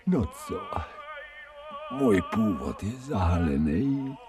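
An actor playing a contented, vain stray dog in a dreamy radio drama monologue. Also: male voice, lowish voice, big pitch swings. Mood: smug contentment. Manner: dreamy, smug, savouring. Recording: music behind the voice.

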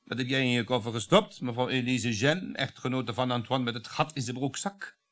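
An actor playing a gruff, impatient husband in his fifties in a radio play, curt and sarcastic. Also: medium pitch, steady tone, a little fast, fairly bright tone, natural voice. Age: 50s. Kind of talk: radio drama acting.

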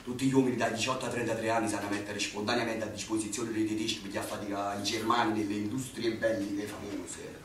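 An actor playing an earnest young Neapolitan man in a radio drama, gentle and self-mocking. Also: lowish voice, little pitch movement, fast, hardly any pauses, close to an everyday voice. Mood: hesitant. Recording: echoey, distant, noisy.

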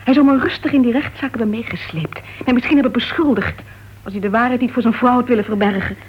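A woman's voice: on the high side, fast, slightly rough. An actress playing a bitter, guarded woman with a painful past in a Dutch radio play, speaking in a terse, weary, restrained manner. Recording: dull, muffled old radio recording.

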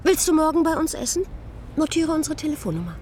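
A brisk married lady in a radio drama. Her high voice swoops excitably, with music playing behind her. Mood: breezy. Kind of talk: German radio play acting.

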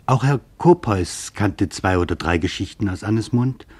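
An actor as a sober investigator narrating his interviews in a scripted radio documentary drama, measured and grave, with a husky voice. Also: fairly low pitch, animated, a little mellow.

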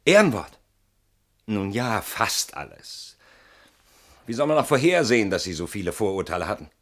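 An actor in a German radio play, playing a guilt-ridden, self-justifying man who is agitated and evasive, halting and repeating himself between mutters and outbursts. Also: on the low side, sing-song, fairly bright tone.

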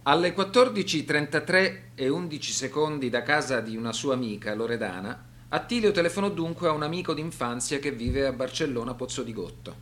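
A man's voice: mid-range voice, expressive, brisk, few pauses, a bit bright. Male narrator, serious, widely varied intonation. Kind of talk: scripted documentary radio drama narration.

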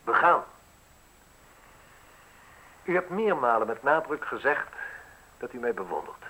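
An actor playing a self-assured, mocking man in his thirties in a radio drama, speaking deliberately with ironic, theatrical pauses. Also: mid-range voice, big pitch swings, bright, forceful tone, full character voice, low-quality recording. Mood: needling. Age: 30s. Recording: husky-sounding radio play recording.

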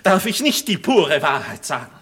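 An actor playing a despairing, bitter young craftsman accused of murder, in a theatrical 1950s radio play style, swinging between hushed and fervent. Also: a little high, big pitch swings, moderate pace. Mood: bitterly sarcastic.

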